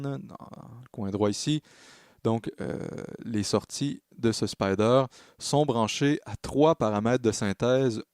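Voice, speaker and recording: A man narrating a software tutorial as a music production tutor, explaining in a halting way and correcting himself as he goes. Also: on the low side, smooth, no room sound, clean studio sound. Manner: hesitant, halting phrasing with self-corrections.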